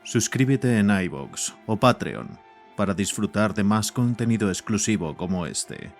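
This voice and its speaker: A man's voice: on the low side, lively intonation, a little slow. A man narrating a scripted horror story as the narrator of an audio drama. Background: faint music.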